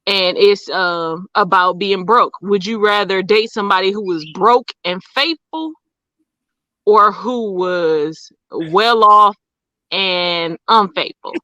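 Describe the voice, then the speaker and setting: Casting: podcast host, bright voice, dry close sound, loose and rambling. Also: mid-range voice, slow, no echo, highs cut off. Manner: rambling, loose, lots of fillers. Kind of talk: casual chat.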